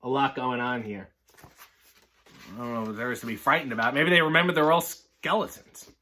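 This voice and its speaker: A man reading a children's picture book aloud, breaking off for sardonic, deadpan comic asides. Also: medium pitch, fairly quick.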